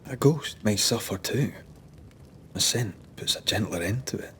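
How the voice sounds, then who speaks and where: A man playing a rugged, soft-hearted ghost hunter in a video game drama, gentle and earnest. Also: on the low side, a little slow, slight background noise.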